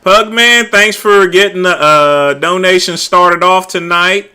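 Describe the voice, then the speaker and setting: A middle-aged man hosting a casual late-night livestream, talking loudly and easygoing, chatting with his viewers. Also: high-pitched, measured pace, smooth. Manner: loud, laid-back, drawls filler words like "there". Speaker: livestream host.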